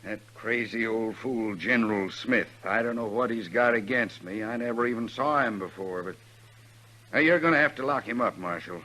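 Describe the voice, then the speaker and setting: A brusque, forceful frontier banker. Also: male voice, on the low side, moderate pace, low-quality recording. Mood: irritated. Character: self-important, short-tempered frontier banker. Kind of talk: 1950s radio western acting. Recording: hissy old 1950s radio recording.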